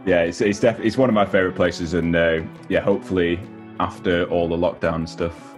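Low-voiced young man interviewing casually. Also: steady tone, normal speed. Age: young adult. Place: home room, on a video call. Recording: video call, music underneath.

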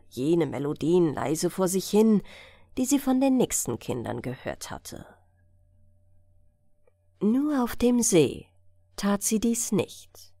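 A woman narrating a bedtime fairy tale in a measured, expressive storytelling manner. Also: medium pitch, no echo, studio quality. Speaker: audiobook narrator.

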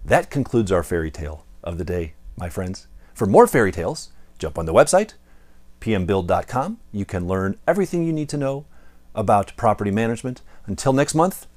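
A man's voice: lowish voice, sing-song, fairly quick, a little mellow. An American man in his fifties presenting a playful storytelling lesson with theatrical, emphatic delivery. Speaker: presenter of property management lessons. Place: indoor studio in front of a backdrop. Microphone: lapel mic.

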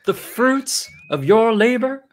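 A man voicing a goofy, cuddly yet sinister alien sidekick with playful, drawn-out delivery in a comedy table read. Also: extremely high pitch, animated, slight background noise, full character voice. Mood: cuddly, smug.

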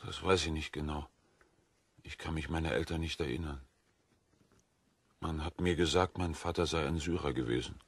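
An actor playing a gentle, earnest, naive wandering prisoner in an audio drama, speaking plainly. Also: male voice, extremely low pitch, fairly even intonation, normal speed, pauses often, breathy edge, no echo, full character voice. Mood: meek, plain.

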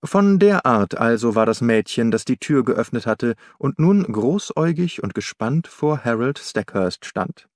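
A man's voice: medium pitch, animated, unhurried, hardly any pauses, darkish tone, no echo, clean studio sound. A man narrating a literary audiobook from a script.

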